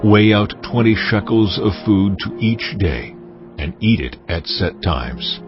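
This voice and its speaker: An actor voicing a stern, commanding God, speaking deliberately and with authority in a dramatized scripture reading. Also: male voice, fairly low pitch, animated, lo-fi sound, over music. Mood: solemn instruction. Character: God, solemn commanding deity instructing a prophet.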